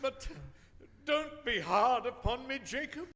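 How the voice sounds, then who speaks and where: An actor playing a crotchety old miser in a radio play, frightened, grudging and querulous. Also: male voice, very high-pitched, animated, takes it slowly, a bit bright, exaggerated voice. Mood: nervous, pleading. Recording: long reverb, music behind.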